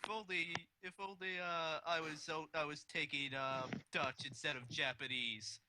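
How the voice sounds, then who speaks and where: A man's voice: fairly high pitch, steady tone, normal speed, noisy background. A man chatting on a casual group voice call, hesitant and full of fillers.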